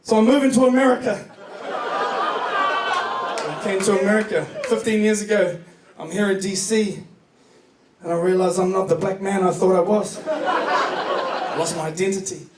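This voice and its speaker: Echoey, high-pitched New Zealand male stand-up. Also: normal speed, long pauses. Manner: profane, joking storytelling. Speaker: stand-up comedian. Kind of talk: stand-up comedy set. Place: comedy club stage before a live audience. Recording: distant echoey club recording, noisy laughing crowd.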